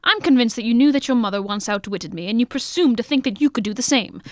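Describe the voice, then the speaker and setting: Woman playing a shrewd, high-voiced gentleman detective. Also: lively intonation, no room sound, studio quality, natural voice. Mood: stern. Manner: formal, measured, old-fashioned British gentlemanly phrasing. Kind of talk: old-fashioned detective radio drama acting.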